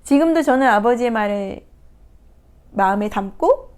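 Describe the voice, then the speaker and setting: A woman counselor giving a reflective talk, retelling a picture book in a gentle, unhurried way with soft hesitations. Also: on the high side, lively intonation, smooth. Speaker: counselor and coach. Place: recording her first video talk.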